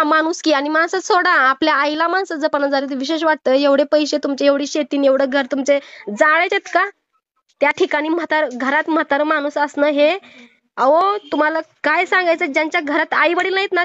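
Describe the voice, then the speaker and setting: A woman's voice: sits high, a bit bright, no echo. A woman with a Maharashtrian Marathi accent on a phone call, insistently admonishing the other person with moralising rhetorical questions. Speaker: old-age home worker taking a call. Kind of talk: phone counselling conversation, admonishing. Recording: muffled lo-fi phone call recording.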